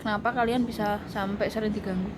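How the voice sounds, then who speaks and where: A woman's voice: medium pitch, fairly even intonation, quick pace. Woman, jokey storyteller, noisy room recording. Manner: chatty, jokes around between spooky anecdotes. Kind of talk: casual storytelling chat.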